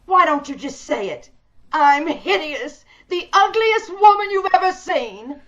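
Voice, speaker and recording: A woman's voice: very high voice, expressive, measured pace, fairly bright tone, heavily acted. A woman playing a bitter, imperious, disfigured recluse in an old-time radio drama, theatrical and despairing. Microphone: video call. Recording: dull video-call audio.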